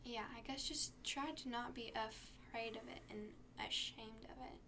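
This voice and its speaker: A teenage girl talking as a podcast guest, soft-spoken and stuttering with repeated sounds. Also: on the high side, steady tone, normal speed, slight background noise. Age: teens. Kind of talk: podcast conversation.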